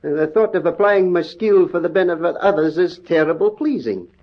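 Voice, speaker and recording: An actor playing a kindly, idealistic Scottish doctor in an old radio drama, folksy and earnest, with a Scottish accent. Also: male voice, on the high side, expressive, fairly quick. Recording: dull, lo-fi old radio recording.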